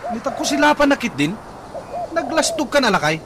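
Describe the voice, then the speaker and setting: Man acting a vain, blustering high-voiced young hothead. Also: big pitch swings, fairly quick. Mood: angry, challenging. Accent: Ilocano. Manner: blustering, confrontational. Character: vain, hot-tempered young boyfriend, stingy and boastful. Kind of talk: Ilocano radio comedy drama acting. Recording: very noisy audio with bird sounds.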